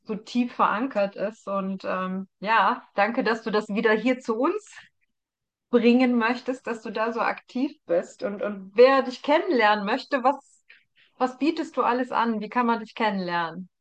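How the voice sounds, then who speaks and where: A woman's voice: a little high, a little slow. A woman hosting a conversational interview, speaking in an appreciative, affirming way. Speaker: interviewer, host.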